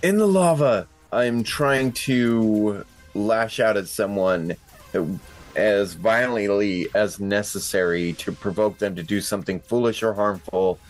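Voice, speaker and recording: A man's voice: lowish voice, measured pace, soft background music. A man playing a tabletop roleplaying game, chatting casually and swearing freely.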